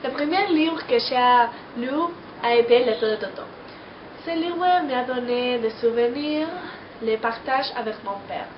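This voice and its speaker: Slow, hesitant woman in a noisy room. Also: on the high side. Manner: hesitant, halting delivery. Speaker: student of French. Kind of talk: prepared personal testimony in French as a learner. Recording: muffled, echoey, noisy amateur recording.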